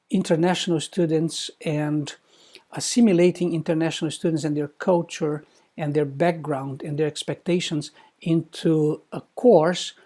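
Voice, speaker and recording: Mellow middle-aged man. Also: a little high. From Brazil. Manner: measured, formal, articulate. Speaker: university professor, guest speaker. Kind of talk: prepared recorded talk.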